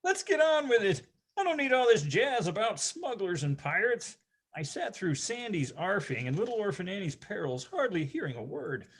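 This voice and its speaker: Someone performing an excitable, husky, swooping nostalgic narrator. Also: medium pitch. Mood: impatient. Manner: theatrical, grandiose, dramatic. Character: excitable nostalgic narrator recalling his nine-year-old boyhood, grandiose. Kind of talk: readers theatre storytelling narration.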